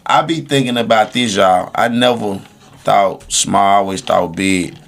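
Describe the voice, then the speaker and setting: A man's voice: on the low side, measured pace, gravelly, a bit bright. A man in casual podcast chat, talking in an emphatic, slangy way and repeating phrases for stress. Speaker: podcast host.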